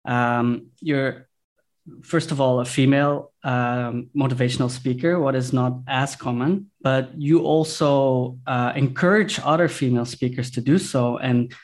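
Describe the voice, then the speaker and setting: A man hosting a podcast over a video call, speaking in a halting way full of fillers and restarts. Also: mid-range voice, fairly even intonation. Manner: halting, many fillers, admiring. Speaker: podcast host.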